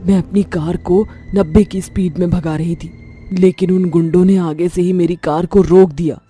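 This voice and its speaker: A woman's voice: fairly low pitch, fairly even intonation, normal speed, dark tone, faint music behind, natural voice. A woman voicing a vengeful, bitter female witch ghost in a horror cartoon, speaking in a menacing, declaiming way. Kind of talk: Hindi horror cartoon voice acting.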